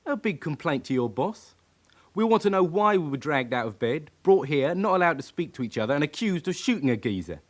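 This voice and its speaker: An actor playing a cocky, defiant young criminal with a London accent and slangy, aggrieved manner in a radio crime drama. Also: male voice, on the high side, sing-song, fairly quick. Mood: menacing, sneering.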